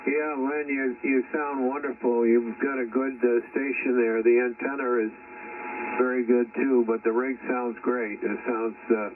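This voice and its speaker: A man's voice: medium pitch, little pitch movement, unhurried, recorded from a distance. A man chatting casually as an amateur radio operator over a shortwave radio link. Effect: shortwave radio transmission. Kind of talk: casual ham radio chat. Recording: tinny, noisy shortwave radio reception.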